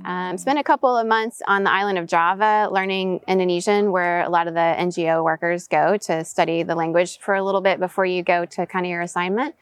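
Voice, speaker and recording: A woman's voice: on the low side, steady tone, fairly quick, few pauses, smooth, dry recording. A woman in her forties who was raised in Venezuela, answering reflectively as an interview guest in a casual conversation. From raised in Venezuela, US-born. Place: outdoors, in person.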